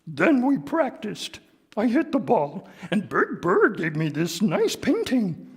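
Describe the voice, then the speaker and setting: A man voicing a small excitable furry monster in a read-aloud storybook, in a high, wobbly, overly emphatic voice. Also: slow, husky, exaggerated voice. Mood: earnest.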